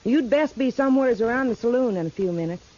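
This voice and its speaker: A woman's voice: a little high, animated, fast talker, dark tone, natural voice. Actress as feisty backwoods mountain girl. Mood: blunt, determined. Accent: American Southern mountain. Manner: blunt, sassy, backwoods. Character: feisty, stubborn young Kentucky mountain girl. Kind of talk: 1950s radio western acting. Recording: muffled vintage radio recording.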